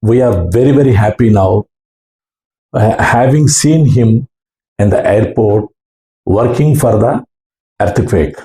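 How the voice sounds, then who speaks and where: Man, South Indian accent, slow halting delivery, mellow tone, personal recollection, roomy echo. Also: fairly low pitch. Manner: halting, earnest delivery in short phrases. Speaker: amateur radio operator. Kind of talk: recounting personal experience. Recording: roomy, echoing room sound.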